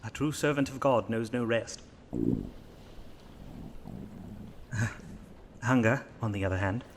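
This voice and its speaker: A man's voice: mid-range voice, normal speed, slight background noise, natural voice. A man playing a devout, hesitant priest in a horror audio drama, polite and formal with a slightly pompous turn of phrase.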